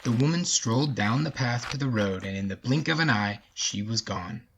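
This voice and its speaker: A man's voice: mid-range voice, lively intonation, moderate pace, a bit bright, a little hiss or hum. A man narrating a scripted folksy story as the narrator of an audio drama.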